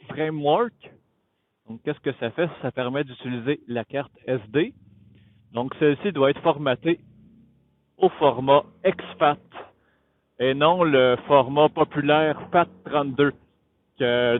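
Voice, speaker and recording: A man with a Quebec French accent explaining tech casually and haltingly, as a vlogger thinking aloud. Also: mid-range voice. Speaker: motovlogger, host of his own channel. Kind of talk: casual tech explainer vlog while riding. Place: on a motorcycle outdoors. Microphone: Bluetooth helmet intercom. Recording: muffled phone-like Bluetooth intercom, riding noise.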